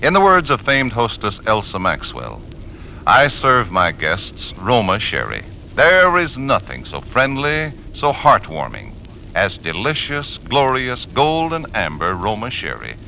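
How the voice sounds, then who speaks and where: A man's voice: mid-range voice, wildly swooping pitch, a little slow, vocal fry. A man announcing a scripted 1940s radio advertisement with polished, emphatic salesmanship. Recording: dull, faintly hissy 1940s radio recording.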